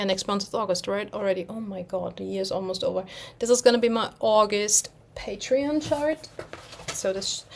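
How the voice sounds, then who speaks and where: A young German woman speaking English as a second language, chatting in a loose, rambling way. She pauses now and then to hunt for a word and laughs at herself. Her voice sits at an ordinary middle pitch. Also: slight background noise. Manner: rambling, self-deprecating, searches for words. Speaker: cross-stitch vlogger. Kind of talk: casual chat.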